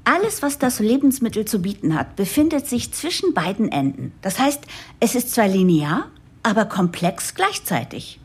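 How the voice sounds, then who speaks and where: An actress playing a determined new managing director who narrates an audio drama, expressive, with a breathy, husky voice. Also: female voice, medium pitch, moderate pace, heavily acted. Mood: eager, persuasive. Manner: expressive, explanatory. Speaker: narrator and lead character. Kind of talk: German audio drama, first-person narration and dialogue.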